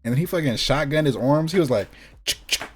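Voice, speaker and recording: A man's voice: a little high, lively intonation, a little fast, breathy edge. A man co-hosting a casual chat, telling things in loose slang and repeating phrases for emphasis. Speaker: podcast co-host. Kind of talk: casual chat about video game movies.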